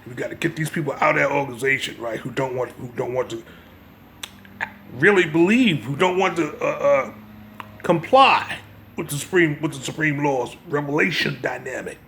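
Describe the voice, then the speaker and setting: A man with a gravelly voice giving an informal religious lecture, part reading and part commentary, emphatic and repeating his phrases to press the point. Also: a little high, moderate pace, a bit bright, slight background noise. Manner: emphatic, repeats phrases, checks listener's understanding. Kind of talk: informal religious lecture mixing read-aloud passages and commentary.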